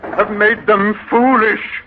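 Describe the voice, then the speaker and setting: A scheming beggar posing as a god. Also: male voice, sits high, little pitch movement, a little hiss or hum. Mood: commanding. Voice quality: commanding, measured. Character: cunning male beggar leader posing as a god, commanding. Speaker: radio drama actor. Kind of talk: 1930s radio drama acting. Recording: muffled 1930s radio broadcast with music.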